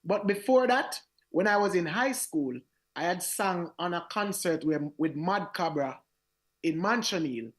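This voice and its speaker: Raspy Jamaican man, animated storyteller. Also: high-pitched, measured pace. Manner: animated, acts out quoted voices, repeats words. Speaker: reggae singer, guest. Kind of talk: radio interview storytelling.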